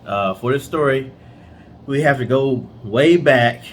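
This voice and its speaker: A man's voice: medium pitch, measured pace, slight background noise. A man co-hosting a casual storytelling show with a laid-back, playful way of talking. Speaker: co-host.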